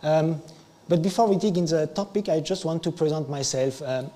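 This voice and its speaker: French-speaking man, mellow voice in a hall. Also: on the high side, normal speed. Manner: casual, self-deprecating, restarts often. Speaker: meetup speaker. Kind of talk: improvised tech talk. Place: meetup venue, large hall. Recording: live stream with long hall reverb.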